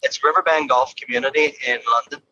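A man's voice: mid-range voice, steady tone, brisk, bright, forceful tone, no echo, lo-fi sound. A man with an Irish accent, a golf coach, chatting easily from his car in an interview. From Ireland. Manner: easygoing, laughs often, repeats words. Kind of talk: casual interview chat from a car.

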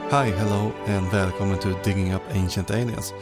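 A man's voice: fairly low pitch, steady tone, moderate pace, loud music behind the voice. A man hosting a podcast, reading a lightly joking intro, then interviewing his guest. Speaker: podcast host.

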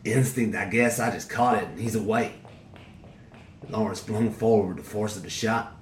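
An actor playing a defiant convict serving life, talking in coarse drawling slang with a sneer. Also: male voice, fairly low pitch, fairly even intonation, normal speed, slight background noise, natural voice. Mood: storytelling, cold. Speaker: prison inmate. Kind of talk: dramatic dialogue acting. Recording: roomy echo.

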